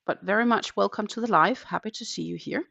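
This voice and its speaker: A woman's voice: mid-range voice, a little fast, vocal fry, bright, forceful tone, slightly rough. A woman in her thirties, a native Danish speaker, chatting casually on a live crochet stream and counting her stitches aloud from her home. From Denmark. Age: 30s. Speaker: crochet channel host. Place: home in the Netherlands. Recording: dull lo-fi live-stream audio.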